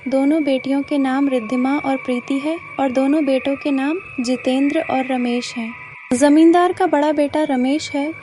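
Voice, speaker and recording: A female narrator reads a scripted animated horror story. Her voice is very high in pitch, and music is clearly present behind her. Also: moderate pace.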